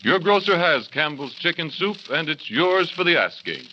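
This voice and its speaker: A man's voice: a little high, big pitch swings, moderate pace. A man reading a scripted radio commercial with a nostalgic, persuasive delivery. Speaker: commercial announcer. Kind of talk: scripted 1930s radio advertisement. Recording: dull, hissy 1930s radio broadcast.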